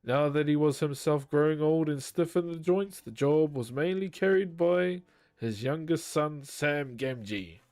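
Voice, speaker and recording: A man's voice: a little high, unhurried. A man reading a novel aloud on a live stream, chatting casually with viewers between passages and swearing freely. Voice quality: naturally loud voice. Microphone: desk microphone.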